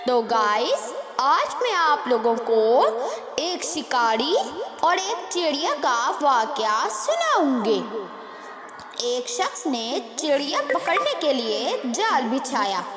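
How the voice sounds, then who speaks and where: A woman's voice: sits high, sing-song, slow, music in the background. A woman hosting a storytelling channel, narrating a scripted moral story. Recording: echoey hall-like reverb, crowd-like background noise.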